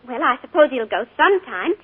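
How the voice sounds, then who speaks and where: A woman's voice: high voice, sing-song, breathy edge, full character voice. An actress playing an earnest young woman who is afraid of her uncle, in a 1940s radio drama. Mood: uneasy. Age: young adult. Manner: halting, anxious. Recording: tinny 1940s radio broadcast.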